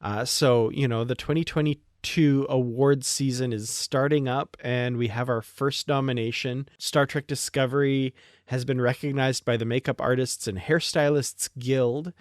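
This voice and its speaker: A man's voice: medium pitch, steady tone, moderate pace, no room sound, studio quality. A man hosting a fan podcast, talking through entertainment news in a casual, conversational way. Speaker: podcast host.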